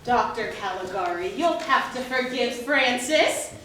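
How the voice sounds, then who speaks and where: A woman playing a brisk, officious small-town commissioner in a theatrical, projected stage style. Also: on the high side, expressive, fairly bright tone. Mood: brisk, polite. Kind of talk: musical theatre stage acting. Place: staged reading before live audience. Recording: echoey hall, distant mic, faint noise.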